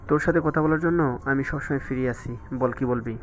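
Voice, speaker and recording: A man's voice: mid-range voice, fairly quick, soft background music, lightly acted, rough recording. A man playing a loyal, teasing best friend in an audio story, with a familiar bantering manner. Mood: supportive.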